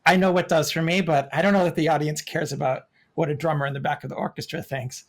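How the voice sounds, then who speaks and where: A man's voice: a little high, steady tone, quick pace. A man hosting a video call interview, asking curious and admiring questions. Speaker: host.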